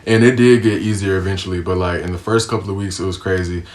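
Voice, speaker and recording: A man's voice: low-pitched, steady tone, quick pace, darkish tone. A young American man telling a casual storytime vlog, laid-back and slangy, with lots of ums. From United States. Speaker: vlogger.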